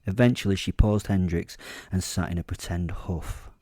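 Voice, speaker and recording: Deep, mellow man telling a story. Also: steady tone, normal speed, dry recording, clean studio sound. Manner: wry, confiding. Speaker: narrator playing the werewolf protagonist. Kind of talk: first-person dramatic story narration.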